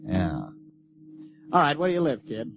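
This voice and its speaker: An actor playing an irritable, educated university student with an American accent and a testy manner in an audio drama. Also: male voice, a little high, sing-song, unhurried, lo-fi sound, over music. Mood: curious, wary. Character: exasperated, irritable male university graduate student.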